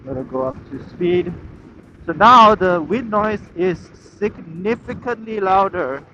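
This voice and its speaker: A dull helmet-mic recording with clear wind and vehicle noise. A slightly high-voiced man chats off the cuff while riding a motorcycle, rambling a little as he thinks aloud. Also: lively intonation, measured pace. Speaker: motorcycle vlogger. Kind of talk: unscripted casual chat while riding. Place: outdoors on a moving motorcycle, inside a helmet. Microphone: helmet intercom microphone. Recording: muffled helmet mic, loud wind and traffic.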